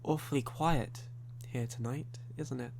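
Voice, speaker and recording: A man performs a sly, flirtatious male vampire in an ASMR audio roleplay. His mid-pitched speech is very unhurried, courtly and teasing, with formal phrasing. A noticeable hum sits under the recording. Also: fairly even intonation, vocal fry, a little mellow. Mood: sly, ominous.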